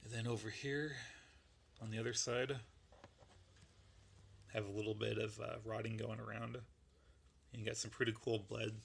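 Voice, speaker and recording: Gravelly-voiced man losing his voice. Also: lowish voice, little pitch movement, normal speed, long pauses, fairly bright tone. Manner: rambling, laid-back, lots of fillers. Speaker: model kit builder. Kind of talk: casual show-and-tell walkthrough.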